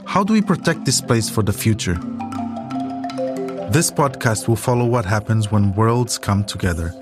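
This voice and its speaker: A man's voice: fairly low pitch, animated, moderate pace, music in the background. A man hosting a podcast, narrating a scripted documentary.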